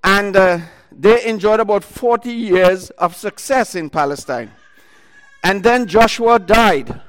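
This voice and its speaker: Guy preaching into a mic, kinda high voice, loud, lots of uhs and restarts. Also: in a large hall, poor audio. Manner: loud voice, talks in bursts with many fillers and restarts. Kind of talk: sermon retelling a Bible story.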